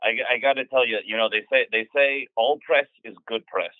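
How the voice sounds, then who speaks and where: A man's voice: mid-range voice, brisk, no echo, phone-quality sound, natural voice. A man putting on a shady Scottish swindler. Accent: Scottish (put on). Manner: stammering, sly deadpan, patter. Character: shady Scottish male real estate swindler. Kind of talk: improvised comedy bit.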